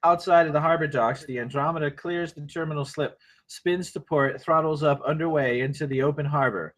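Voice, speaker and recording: A man narrating a script at a table read in measured, short phrases. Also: fairly high pitch, fairly even intonation, a bit bright. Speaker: narrator reading stage directions.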